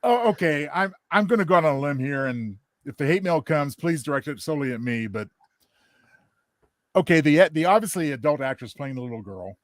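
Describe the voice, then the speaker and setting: A man's voice: on the high side, expressive, a little fast, no echo. A man co-hosting a show with casual, wry commentary. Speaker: show co-host.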